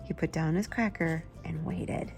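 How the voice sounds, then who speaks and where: A woman's voice: deep voice, measured pace. Woman reading a picture book, music behind. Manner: expressive, simple storytelling for young children. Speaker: children's book reader, channel host. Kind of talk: picture-book read-aloud with asides to a child.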